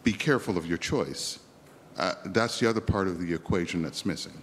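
A man's voice: deep voice, fairly quick, noisy background. A man from the West Indies asking an audience question at a lecture, speaking emphatically. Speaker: audience member. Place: lecture theatre.

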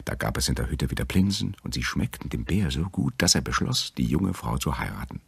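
Very deep, gravelly male storyteller. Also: big pitch swings, fairly quick, no room sound. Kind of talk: scripted fairy-tale narration.

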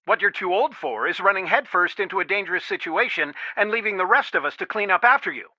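A man's voice: fairly high pitch, expressive, moderate pace, sharp tone, no room sound. An actor playing a worried, protective middle-aged father in a video game, earnest and pleading, heard through a telephone filter. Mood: upset, pleading. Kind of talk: naturalistic video game dialogue, one side of a phone call. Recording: dry, band-limited phone-like sound.

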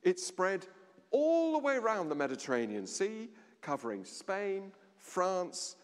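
A middle-aged man giving a sermon at a lectern in a large stone church, measured, with dramatic pauses and a voice that drops low. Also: sing-song. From England. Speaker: vicar. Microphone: standing at a lectern, far camera. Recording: long church reverb.

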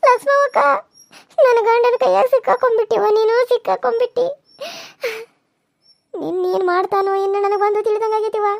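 Shrill, quick-talking rural woman, pleading and wailing , played by a woman. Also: fairly even intonation, vocal fry, a little mellow, dry recording, clean studio sound. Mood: fretful. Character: frightened village women pleading with a bully.

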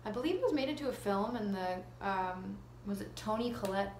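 A Canadian woman talks casually as an interview guest, with a mid-pitched voice. She is self-deprecating and a little halting. The living room is echoey, with faint ambient noise around her. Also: expressive, a little mellow. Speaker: actress being interviewed.

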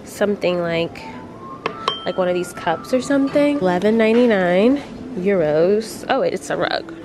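A woman's voice: medium pitch, lively intonation, moderate pace, music in the background. Chatty young woman, nasal from a cold. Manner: chatty, many "like" fillers. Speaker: vlogger. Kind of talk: casual travel vlog. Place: hotel room and shop, indoors. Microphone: handheld camera at arm's length.

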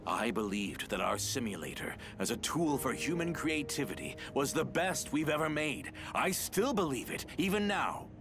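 A man's voice: medium pitch, big pitch swings, moderate pace, few pauses, over music. A man voicing a bitter, defeated villain in a video game, grave and theatrical. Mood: impassioned. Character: defeated brooding male villain, bitter former developer. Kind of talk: dramatic video game voice acting.

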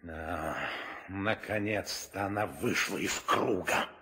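A man's voice: deep voice, slow, heavily acted. An actor playing a gloating, commanding demon king in a 1960s audio drama. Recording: old studio recording under loud music.

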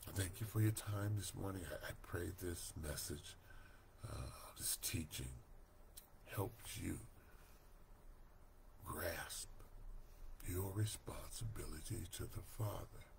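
An older man reading a Bible study lesson aloud from home, slow, deliberate and earnest, recorded on a webcam mic. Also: sits low, gravelly, noisy background. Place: home room, indoors. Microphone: webcam at desk.

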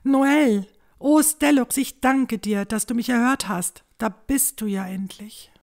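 A woman's voice: on the high side, slow, vocal fry, a little mellow. A woman playing a caring, anxious, protective village mother in a fantasy audio drama. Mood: anxious care.

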